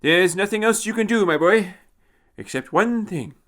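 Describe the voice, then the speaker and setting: Man doing an elderly doctor, high and fussy. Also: normal speed, a bit bright. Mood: sympathetic, grave. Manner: fussy hesitations, old-fashioned phrasing. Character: elderly male doctor, kindly, brisk, patronising.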